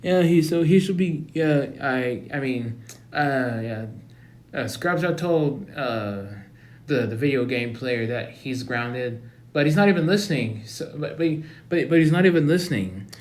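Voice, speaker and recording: A man voicing a fussy school principal in a high, flustered voice, repeating himself. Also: unhurried, warm tone. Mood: exasperated. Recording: roomy echo.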